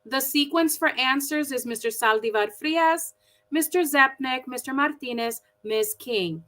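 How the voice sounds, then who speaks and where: A woman with a high, bright voice runs an online forum and greets the viewers one by one in a warm, chatty way. She talks over a video call. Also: unhurried. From Milwaukee, United States. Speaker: forum moderator.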